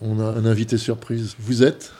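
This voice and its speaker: A male reporter with a dark, husky voice interviews people in a mid-range tone. He is patient and often echoes what he has just been told back as a question. His speech stays steady and unhurried from one question to the next. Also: lowish voice. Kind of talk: interviewing learners.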